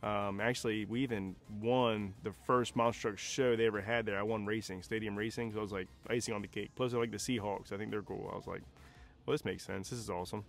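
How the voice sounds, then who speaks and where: A man's voice: lowish voice, steady tone, fast, vocal fry, soft background music. A man, a monster truck driver, talking in a casual, laid-back way in an interview. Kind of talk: interview answer.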